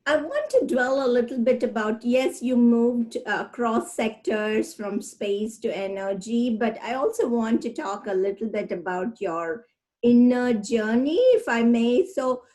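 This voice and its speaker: Woman host on a video call, encouraging, higher voice. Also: measured pace, a little mellow, poor audio. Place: home room with bookshelves, indoors. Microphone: laptop webcam mic on video call.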